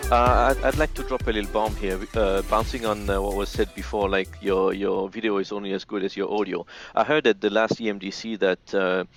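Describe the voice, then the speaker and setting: Provocative man with a mid-low voice. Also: level tone, brisk, few pauses, music in the background. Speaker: audio expert guest. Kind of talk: casual panel discussion.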